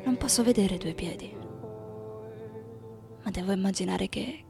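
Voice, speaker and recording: An actress playing a weary, tender lover in an intimate literary radio drama, with a gravelly, creaky voice. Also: female voice, medium pitch, normal speed, lightly acted. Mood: hushed, tender. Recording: voice over faint-to-clear music bed.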